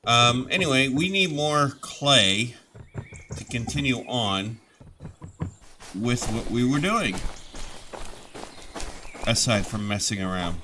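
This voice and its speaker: Bright-voiced man getting over a cold. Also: medium pitch, lively intonation, takes it slowly, long pauses, slight background noise. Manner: rambling and chatty, with many restarts. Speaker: gaming channel host. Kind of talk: casual gameplay commentary.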